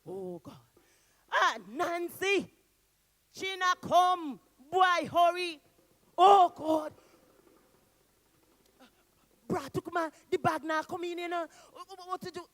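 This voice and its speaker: A woman voicing a greedy trickster spider man who talks loud and pleading. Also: sits high, very animated, exaggerated voice. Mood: panicked. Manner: loud, fast-panicking, pleading.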